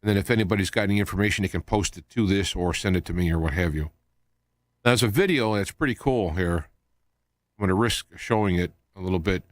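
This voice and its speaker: Male talk radio host, low voice, dry close sound, halting and loosely improvised delivery. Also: no room sound. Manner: halting, loosely wandering from point to point. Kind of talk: rambling live talk show monologue.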